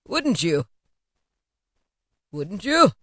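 A woman reading an audiobook and voicing an old male miser who asks hesitant, halting questions. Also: medium pitch, sing-song, bright, forceful tone, no echo, clean studio sound, exaggerated voice. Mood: questioning. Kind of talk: audiobook character voice.

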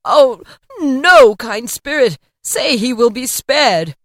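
A woman putting on an old miser, stricken with remorse, begging in a high, swooping voice. The delivery is slow and drawn out, recorded close and dry. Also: expressive, fairly bright tone, dry recording, full character voice. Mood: anguished. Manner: anguished, pleading.

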